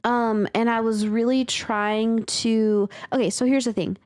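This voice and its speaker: A woman's voice: a little high, steady tone, measured pace, a little mellow, clear, resonant tone, no echo. Casual woman with a dry, close sound. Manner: candid, sweary. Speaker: podcast co-host and writer. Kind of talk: casual story discussion.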